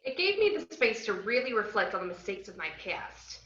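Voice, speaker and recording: A woman playing a brash, bubbly, unhinged young antiheroine, narrating her own story with cheeky, expressive delivery in a table read. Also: mid-range voice, brisk. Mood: wry. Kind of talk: first-person scripted narration in an amateur table read. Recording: roomy, muffled online call audio.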